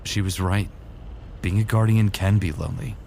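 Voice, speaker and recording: A very low-voiced, gravelly actor as a male space soldier, weary and remorseful. He narrates his sci-fi audio drama in an earnest, brooding way over a faint rumble. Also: steady tone, normal speed, darkish tone. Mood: reflective.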